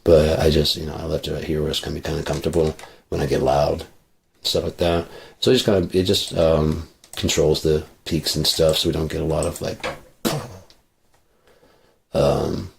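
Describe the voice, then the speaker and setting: Gravelly, very deep-voiced man. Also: little pitch movement, brisk, a little hiss or hum. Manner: rambling, swears freely. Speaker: livestreamer. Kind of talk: casual rambling chat. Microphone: studio microphone with processing.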